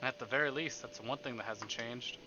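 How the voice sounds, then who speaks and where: A man streaming a visual novel, reading it aloud theatrically and deliberately, with livelier asides. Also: medium pitch, dull audio. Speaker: game streamer. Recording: music behind the voice. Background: game background music.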